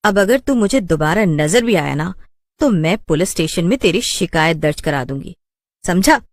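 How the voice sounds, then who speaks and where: A woman voicing a proud, sharp-tongued young village cook who scolds indignantly, in a Hindi cartoon. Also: fairly low pitch, expressive, moderate pace, dry recording, clean studio sound. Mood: defiant, scornful.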